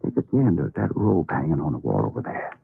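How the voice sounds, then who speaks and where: A shrewd cowboy hero in a radio western. His Western drawl is very gravelly and husky, rising and falling in a lively way, on a tinny old recording. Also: a bass voice, fast talker, exaggerated voice. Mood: plotting. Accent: American Western drawl.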